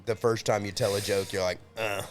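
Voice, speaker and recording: A man's voice: on the low side, steady tone, a little fast, soft background music. A man, the lead singer of a band, chatting as a guest on a podcast in a laid-back, joking way. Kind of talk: casual chat. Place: home garage studio.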